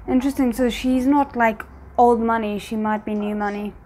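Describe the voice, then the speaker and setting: High-voiced woman giving casual reaction commentary. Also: steady tone, moderate pace, a little mellow. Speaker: reaction video host. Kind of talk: casual reaction commentary while watching a drama.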